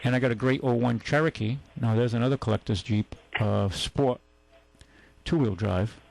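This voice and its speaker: A man with a New England accent rambles through a casual radio chat about used cars. His voice is gravelly, and he keeps circling back with fillers and restarts. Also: mid-range voice, moderate pace, a little mellow, slight background noise, low-quality recording. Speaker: radio show host, car dealer.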